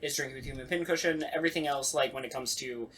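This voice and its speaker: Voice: medium pitch, fast, fairly bright tone, husky. A podcast co-host chatting casually, with a joking, mock-serious way of talking.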